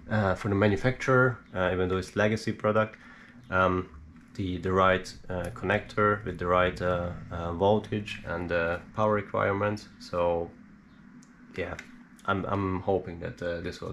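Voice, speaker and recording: A man giving a casual, unscripted hands-on explainer as a hobbyist, halting and hesitant as he thinks aloud. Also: deep voice. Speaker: DIY electronics hobbyist presenter. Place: small indoor home workshop. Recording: steady background noise.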